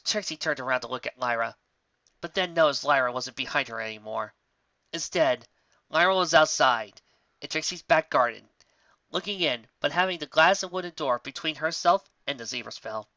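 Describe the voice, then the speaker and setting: A man narrates a fan story with expressive storybook delivery. His voice is bright and forceful, recorded very dry and close, with a slightly dull top end. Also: fairly high pitch, moderate pace, no room sound.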